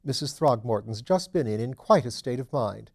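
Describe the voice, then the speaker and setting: A man's voice: medium pitch, animated, normal speed, creaky. A man voicing an older dowager duchess in an audiobook, genteel, chatty and kindly fussing. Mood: chatty, concerned. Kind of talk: audiobook character dialogue.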